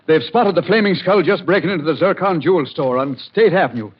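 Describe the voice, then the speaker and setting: An actor playing an excitable, dutiful and superstitious policeman with an urgent, deferential manner, in 1940s radio drama style. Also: on the high side, fast talker, lightly acted. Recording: muffled, noisy 1940s radio recording.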